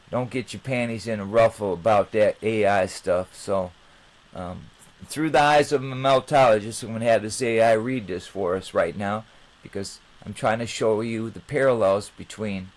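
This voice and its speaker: A man hosting his own channel, talking informally to viewers in a rambling, halting way. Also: lowish voice, low-quality recording. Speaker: channel host.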